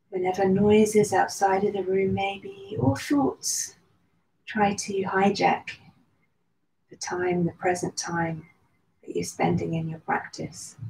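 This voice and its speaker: Woman guiding a breathing meditation, soft mellow voice, calm and slow, echoey room. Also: mid-range voice, vocal fry. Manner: slow, soothing guidance with long pauses. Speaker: meditation and yoga teacher. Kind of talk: guided meditation. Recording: roomy echo with faint background noise.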